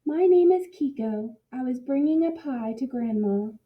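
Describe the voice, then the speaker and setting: A woman's voice: high voice, expressive, a little slow, narrow, tinny audio. A woman reading the part of an earnest, curious little girl in a children's storybook. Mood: shy, earnest.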